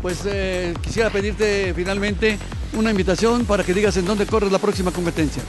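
A man's voice: high-pitched, fairly even intonation, brisk. A middle-aged man with a Mexican Spanish accent hosting a TV sports interview outdoors with a handheld mic, enthusiastic and exclamatory. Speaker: host. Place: outdoors by a fountain. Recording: music bed under voices.

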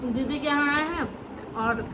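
A woman in her thirties chatting excitedly to her viewers while filming a home cooking vlog on a handheld phone in her kitchen. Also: sits high, measured pace, noisy background. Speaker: vlogger. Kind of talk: casual cooking vlog chat. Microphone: handheld phone camera. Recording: echoey kitchen with clattering pots.

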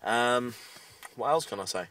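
A man's voice: mid-range voice, unhurried, pushed and bright, far from the mic. A British man giving a casual, matter-of-fact, rambling video update, recorded inside a parked car. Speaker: amateur radio hobbyist making a video update.

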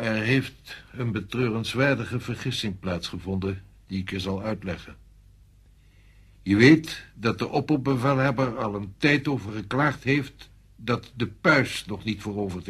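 Radio play general: husky, authoritative, measured, explaining things to a subordinate officer. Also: male voice, fairly low pitch, a bit bright. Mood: grave, explaining. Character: authoritative male French general briefing a subordinate. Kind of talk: Dutch radio play acting.

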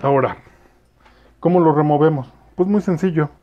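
A man's voice: fairly high pitch, normal speed, highs cut off. A man with a Mexican accent casually demonstrating a cleaning product in a kitchen, explaining to viewers as he works, recorded on a phone. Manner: relaxed, explanatory, addresses viewers directly. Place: kitchen, indoors. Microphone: phone camera.

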